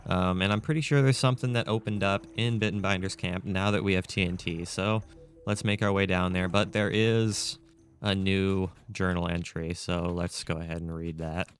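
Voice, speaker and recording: A man with a scratchy, raspy throat, casually commentating a video game as he plays. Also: low-pitched, steady tone, moderate pace, soft background music. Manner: chatty, thinks aloud with fillers. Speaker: gameplay commentator. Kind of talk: casual let's-play commentary.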